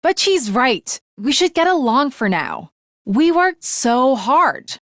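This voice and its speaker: An earnest teenage girl warrior for an anime video game. Her voice is high and swings widely, with slow, deliberate delivery. She speaks with polite, archaic formality and theatrical flourish. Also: sing-song, no room sound, clean studio sound, full character voice. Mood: playful, insistent. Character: earnest, lovestruck, naive teenage girl swordswoman.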